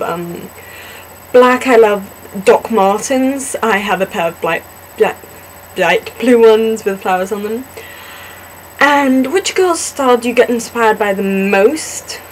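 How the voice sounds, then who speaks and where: Young woman, slightly high voice, slow unhurried talk, self-deprecating chatty vlog, faint background hiss. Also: poor audio. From born in Singapore, grew up in the southwest US. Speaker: vlogger in a fan collab channel. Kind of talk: casual chat answering questions to camera.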